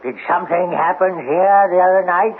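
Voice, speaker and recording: A man's voice: high voice, moderate pace, close to an everyday voice. An actor playing a suspicious, eccentric, nervous male neighbour who talks evasively and repeats himself in a radio drama. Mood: defensive, curt. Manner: evasive, repetitive, dramatic storytelling. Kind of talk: 1970s radio drama acting. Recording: tinny band-limited old radio recording, hiss.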